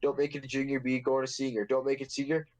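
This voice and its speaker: A man's voice: medium pitch, completely flat delivery, fast talker, darkish tone. A young man hosting a casual podcast, telling a story in a rambling, profane, joking way. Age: young adult. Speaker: podcast host.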